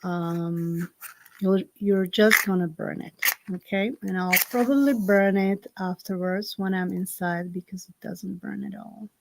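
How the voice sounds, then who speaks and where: A woman with a Slovak accent, a native Slovak speaker, hosting a live-streamed ritual from home and giving slow, gentle instructions full of fillers. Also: mid-range voice, steady tone, vocal fry, heavy background noise. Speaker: spiritual ritual host. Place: home in the south of France. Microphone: computer on a live stream.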